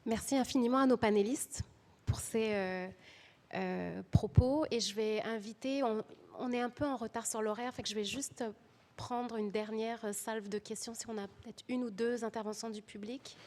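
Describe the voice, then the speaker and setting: A woman with a slightly high voice acts as panel moderator. Her delivery is informal and unscripted as she manages the discussion. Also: steady tone, moderate pace, a little mellow.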